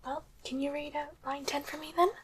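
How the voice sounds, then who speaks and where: Woman acting a softly whispering, high-voiced demon doctor. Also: steady tone, slight background noise, close to an everyday voice. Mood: calm, intrigued. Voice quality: soft, breathy whispering. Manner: slow, gentle, attentive instructions in short phrases. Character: calm, courteous female demon doctor examining a patient. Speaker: ASMR performer. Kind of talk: ASMR medical exam roleplay, whispered and soft-spoken.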